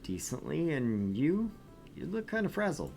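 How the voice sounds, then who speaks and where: A man's voice: a little high, big pitch swings, measured pace, creaky, darkish tone, faint music behind, pitched above the performer's own voice, heavily acted. A man reading as a wry young arcade clerk. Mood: friendly. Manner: dry teasing, read aloud.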